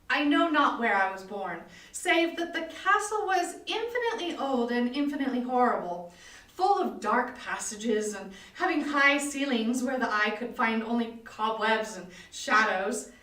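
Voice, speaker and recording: A woman giving a dramatic reading of gothic horror prose with deliberate, melodramatic emphasis. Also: a little high, a bit bright. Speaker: volunteer or library staff reader. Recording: echoey room, distant mic.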